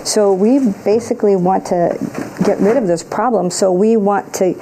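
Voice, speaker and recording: A woman's voice: mid-range voice, moderate pace, noisy background. A woman border official answering a news interview in a hesitant way, repeating words as she goes.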